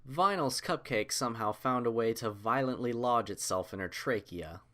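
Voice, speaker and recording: A man's voice: mid-range voice, lively intonation, normal speed. A man reading scripted fiction as an audiobook narrator.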